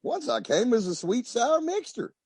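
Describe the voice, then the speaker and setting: A man plays a lonesome, self-pitying tycoon in an old radio comedy. His voice is high and swings wildly up and down as he rambles and boasts about himself. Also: huge pitch swings, moderate pace, darkish tone, husky, no room sound, clean studio sound, exaggerated voice. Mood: lonesome boasting. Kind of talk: 1940s radio comedy acting.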